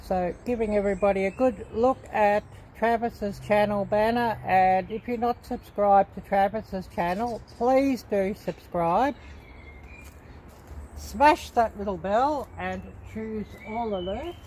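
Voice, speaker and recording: Slow-talking woman chatting on a livestream. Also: medium pitch, darkish tone, slight background noise, poor audio. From Australia. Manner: unhurried, chatty, many ums. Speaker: livestream host. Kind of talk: casual livestream chat with viewers.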